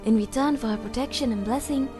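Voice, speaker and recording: A woman's voice: fairly high pitch, fast, over music, close to an everyday voice. A woman voicing an earnest game follower.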